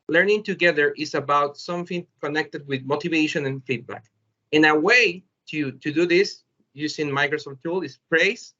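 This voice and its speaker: Bright-voiced Salvadoran man presenting on a call. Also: a little high. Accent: Salvadoran Spanish. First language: Spanish. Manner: halting, searching for words in a second language. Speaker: presenter, educator. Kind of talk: informal webinar presentation. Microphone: video call. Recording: dull, lo-fi video call audio.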